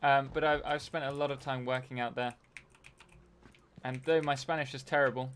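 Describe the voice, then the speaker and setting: A man's voice: medium pitch, level tone, normal speed, fairly bright tone, slight background noise. A young man hosting a casual live stream while playing a game, rambling and answering chat messages.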